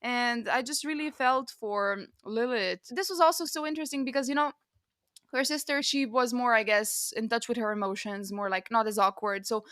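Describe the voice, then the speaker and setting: A woman's voice: fairly high pitch, normal speed, fairly bright tone, no echo, clean studio sound. A woman co-hosting a podcast, chatting casually and expressively about books. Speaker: podcast co-host. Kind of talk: casual book discussion.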